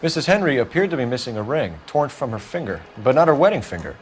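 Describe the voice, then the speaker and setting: An actor playing a stern young 1940s police detective, formal and probing, in a video game. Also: male voice, mid-range voice, sing-song, quick pace, slight background noise, lightly acted. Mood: businesslike. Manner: formal, probing, businesslike questioning.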